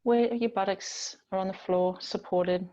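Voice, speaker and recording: A woman yoga teacher speaks very slowly at a medium pitch, gently guiding breath and body awareness. She leaves long, soothing pauses between phrases. The recording sounds slightly dull and lo-fi. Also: steady tone. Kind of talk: guided yoga and relaxation instruction.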